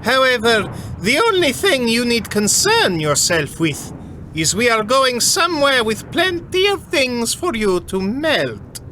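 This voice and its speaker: A man's voice: very high-pitched, very animated, measured pace, bright, forceful tone, pitched above the performer's own voice, exaggerated voice. A man voicing a genial, theatrical and commanding inquisitor in a sci-fi audio drama, with playful menace, through a tinny radio speaker. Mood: commanding, jovial. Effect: tinny vox grille radio filter. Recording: tinny radio speaker effect, some noise.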